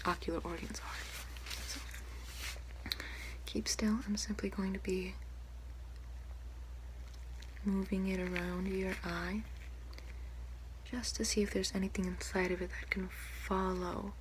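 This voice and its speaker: A young woman doing an ASMR doctor roleplay in a breathy whisper and hushed soft speech, politely clinical. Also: medium pitch, level tone, unhurried, pauses often. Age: young adult. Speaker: ASMR performer playing a doctor. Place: small room indoors. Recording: noisy, hissy close-up home recording. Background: clear background noise.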